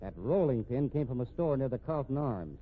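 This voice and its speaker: An actor playing a wry, dogged police captain with a folksy, probing manner in a 1950s radio detective drama. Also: male voice, medium pitch, expressive, fairly quick, narrow, tinny audio, lightly acted. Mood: stern, confident. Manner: folksy, hesitant probing with casual uh and hmm. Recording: thin, band-limited 1950s radio recording.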